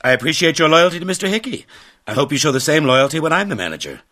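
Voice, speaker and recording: A man's voice: a little high, sing-song, quick pace, pushed and bright. An actor playing a cool, ambitious male assistant hotel manager, polite and guarded, in a radio drama. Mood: guarded, smug.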